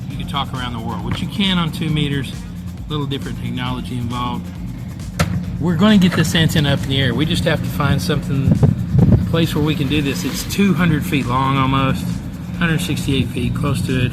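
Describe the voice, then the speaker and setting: An older man speaks at a middling pitch in a casual vlog style. He is encouraging and instructive, like a hobbyist showing someone the ropes of radio. Loud music plays behind him. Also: fairly quick. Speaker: amateur radio operator coaching a child.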